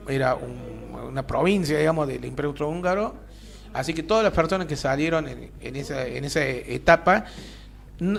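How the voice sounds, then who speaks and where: A man's voice: a little high, gravelly. A man with an Argentine accent, explaining history at an easy, hesitant pace in a casual radio discussion. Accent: Argentine Spanish. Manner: measured, explanatory, frequent hesitations. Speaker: radio co-host.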